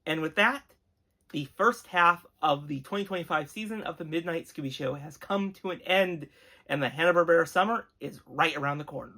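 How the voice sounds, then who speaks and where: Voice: mid-range voice, brisk, sharp tone. An earnest, appreciative host giving an enthusiastic, conversational review with emphatic delivery. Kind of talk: enthusiastic retro cartoon review, conversational commentary.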